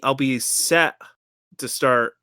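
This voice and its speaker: A woman's voice: rumbling low, slow, bright, forceful tone, clear, resonant tone, no room sound, studio quality. A woman playing a tabletop game, chatting casually with a blunt, teasing manner.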